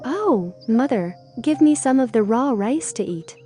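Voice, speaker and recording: A man voicing a pleading, disobedient little girl turned rice bird in a storybook, with plaintive drawn-out calls. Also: very high voice, lively intonation, warm tone, pitched above the performer's own voice. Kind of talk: storybook character voice. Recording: music behind.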